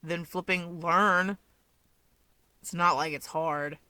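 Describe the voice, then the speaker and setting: A woman voicing a smug, sardonic young man who likes to needle his rival, deadpan and dismissive, in a fan fiction audiobook. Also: lowish voice, takes it slowly, no echo.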